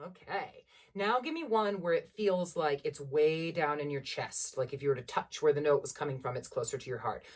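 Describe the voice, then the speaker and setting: Low-voiced woman teaching beginner singing. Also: moderate pace, far from the mic. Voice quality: generally low voice. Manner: encouraging, demonstrates vocal sounds between explanations. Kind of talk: online beginner singing lesson. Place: small room at home, seated at a keyboard.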